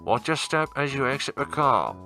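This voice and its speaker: A man's voice: medium pitch, big pitch swings, moderate pace, pitched above the performer's own voice. Guy doing a polite train announcer, voice pushed up, formal, music under it. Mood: formal, upbeat. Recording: music playing behind the voice.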